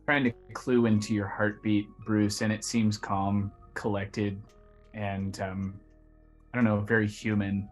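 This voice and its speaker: A man's voice: lowish voice, little pitch movement, a little slow, soft background music. A man playing a tabletop roleplaying game, narrating what his character senses and says.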